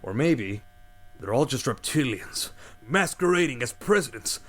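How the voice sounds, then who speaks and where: A man's voice: medium pitch, very animated, a little slow. An actor playing a flustered male radio host who rambles about conspiracy theories, with a faint mains hum behind.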